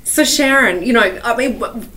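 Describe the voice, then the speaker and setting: A woman's voice: fairly high pitch, normal speed, bright, forceful tone, poor audio. A woman hosting a podcast, asking questions in a casual, conversational way. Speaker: podcast host.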